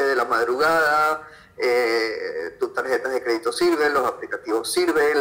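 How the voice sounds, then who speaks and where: Man, Ecuadorian accent, middle pitch, bright clear-cut tone, conversational interview answers. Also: low-quality recording. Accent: Ecuadorian Spanish. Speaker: podcast guest, freelance photographer.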